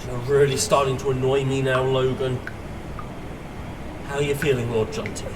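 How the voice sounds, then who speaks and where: A man's voice: medium pitch, little pitch movement, moderate pace, noisy background, lightly acted. A man playing an exasperated father urgently giving orders in an audio drama. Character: exasperated, bossy father leading an escape. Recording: distant mic under loud music.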